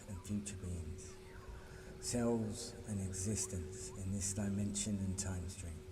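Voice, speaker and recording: Man, lowish voice, solemn ritual reading, measured and declarative, heavy reverb, noisy ambient backdrop. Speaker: reader of a spiritual decree. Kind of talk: solemn scripted spiritual invocation reading. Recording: long reverb, clearly noisy ambient background.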